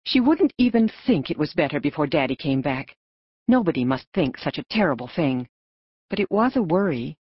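A woman narrating an audiobook story in an expressive storytelling manner. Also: sits low, moderate pace, breathy edge, dry recording, highs cut off.

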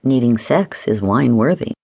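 Woman voicing an easygoing, low-pitched flirty man. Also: expressive, normal speed, vocal fry, dark tone, husky, full character voice. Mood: amused, wry. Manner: low, wry teasing.

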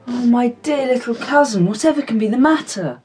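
A woman playing a kind, gentle upper-class teenage boy in a period audio drama, with a reassuring manner. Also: fairly high pitch, expressive, brisk, faint music behind, natural voice. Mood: tender, concerned.

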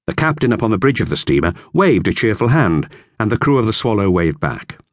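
Low-pitched man reading a children's story. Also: no room sound. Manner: measured, expressive storytelling. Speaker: audiobook narrator. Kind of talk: scripted narration of a children's classic novel.

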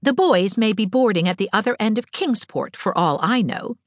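A woman's voice: medium pitch, animated, moderate pace, no room sound, clean studio sound, pitched above the performer's own voice, lightly acted. A woman voicing a cheerful, fanciful eighteen-year-old girl in a storybook, talkative, wry and whimsical. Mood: wistful. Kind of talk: audiobook character dialogue.

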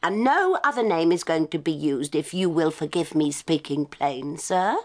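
An actress playing a plain-speaking, no-nonsense English nursery nanny in a radio drama, firm, homely and scolding. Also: female voice, sits low, expressive, moderate pace, darkish tone, faint music behind. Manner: firm, scolding, homely, respectful but stubborn. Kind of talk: full-cast radio dramatisation.

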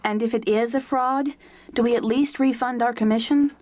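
An actress playing a conscientious, polite young bookstore clerk in an audio drama, earnest and insistent. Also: female voice, a little high, fairly even intonation, moderate pace, darkish tone, no echo, natural voice. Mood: worried, insistent. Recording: music behind the voice.

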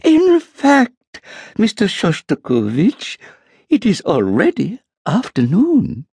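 A man voicing a fussy, genteel old woman landlady who pries sweetly, with drawn-out sing-song lines. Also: high voice, huge pitch swings, darkish tone, breathy edge, no echo, studio quality, pitched above the performer's own voice, exaggerated voice. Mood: smug. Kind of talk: audiobook character voice.

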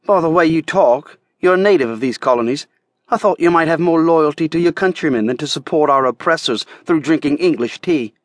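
A man's voice: a little high, brisk, no room sound, studio quality, pitched above the performer's own voice, lightly acted. A man reading an audiobook, voicing a brazen, fiery young serving woman in a raised girlish voice. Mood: defiant. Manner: pert and defiant.

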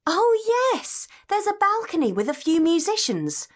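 A woman's voice: very high-pitched, wildly swooping pitch, unhurried, exaggerated voice. A woman playing a curious, observant student in a scripted educational audio drama, speaking in an eager, inquisitive way. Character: curious, observant female student on a guided tour.

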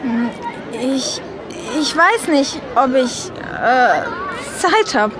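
An unsure young schoolgirl in a kids' audio drama. She speaks slowly in a high, hesitant, put-upon voice, with noisy chatter around her. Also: animated, heavily acted. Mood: hesitant, awkward.

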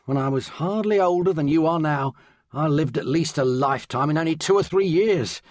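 A man's voice: a little high, moderate pace, a little mellow, no room sound, clean studio sound, close to an everyday voice. An actor playing an old great-grandfather, a Great War veteran looking back bitterly, in an audio drama. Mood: reflective. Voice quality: gravelly, husky.

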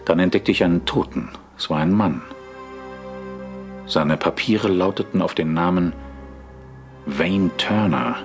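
Cheeky inspector and ghost hunter, lowish voice, dry mock-obedience, radio-play delivery over music. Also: male voice, unhurried, long pauses. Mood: matter-of-fact. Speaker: lead character and narrator. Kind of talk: German audio drama acting and first-person narration. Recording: studio audio drama with music bed.

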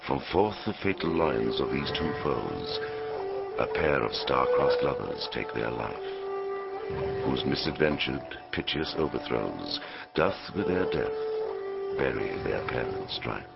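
An actor playing a solemn chorus in a stage tragedy, reciting verse in a grave, measured, gravelly voice. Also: male voice, rumbling low, heavily acted. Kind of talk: theatrical verse prologue recitation. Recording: dull audiobook sound under loud music.

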